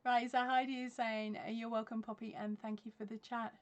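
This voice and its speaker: A woman's voice: a little high, little pitch movement, normal speed. A woman, chatting casually on a crochet livestream from her craft room, laughing often and filling gaps with ums. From England. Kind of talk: casual live-stream chat reading comments. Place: craft room at home with yarn shelves. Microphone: webcam at desk.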